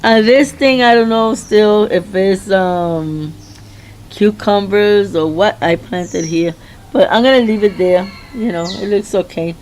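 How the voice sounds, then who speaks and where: A middle-aged woman with a slightly hoarse, mid-pitched voice walks through her garden outdoors, talking casually about her plants. She rambles and often stops to restart her sentences. A faint outdoor hum sits under the handheld recording. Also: a little mellow. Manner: rambling, chatty, halting with restarts. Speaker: home gardener. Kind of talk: casual garden tour vlog.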